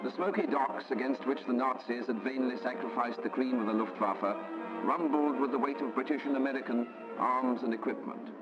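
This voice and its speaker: A man narrating a 1940s wartime newsreel in an emphatic, declamatory way. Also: mid-range voice. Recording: dull old newsreel soundtrack with music.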